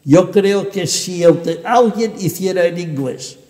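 An elderly man in his seventies talks as a podcast guest in a high-pitched voice. He explains things with emphatic, expressive storytelling. The room adds clear echo and a long reverb. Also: a little slow. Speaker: podcast guest, translator and editor. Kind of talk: animated interview conversation. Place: indoor book-lined room. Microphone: lapel.

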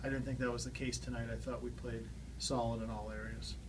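A man's voice: medium pitch, level tone, a little fast. A middle-aged man, a hockey coach, giving post-game remarks at a table in a small press room. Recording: faint background noise.

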